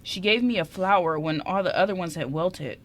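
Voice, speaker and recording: A woman plays a shy young man in an amateur radio play. He is sensitive and smitten, talking haltingly in a lowish register with small stammers. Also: lightly acted. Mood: earnest, bashful. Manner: hesitant, stammering. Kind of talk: amateur radio drama script reading.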